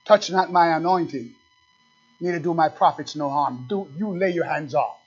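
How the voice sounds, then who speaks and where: A high-pitched man preaches from the pulpit, dropping to low asides and then surging loud. He slows to lean hard on scripture and keeps firing questions at his listeners. The recording is dull and lo-fi. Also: breathy edge. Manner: reads scripture slowly and with emphasis; talks straight to the congregation with questions. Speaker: pastor. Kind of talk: fiery sermon.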